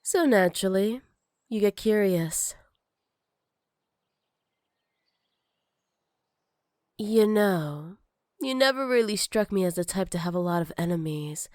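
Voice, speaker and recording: A woman's voice: mid-range voice, lively intonation, normal speed, darkish tone, no room sound, clean studio sound, lightly acted. A woman playing a jealous, possessive villain with magic, teasing and menacing in a sultry ASMR roleplay. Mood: cool, accusing.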